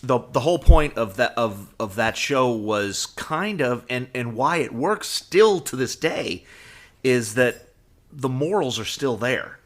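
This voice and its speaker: A man co-hosting a podcast in casual fan discussion, halting and often repeating words as he thinks. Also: medium pitch, lively intonation. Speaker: podcast co-host.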